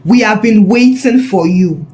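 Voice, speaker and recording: A woman's voice: mid-range voice, unhurried, mellow, natural voice. A woman voicing a menacing male cult leader with a sneering, mock-friendly manner in a story. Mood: gloating.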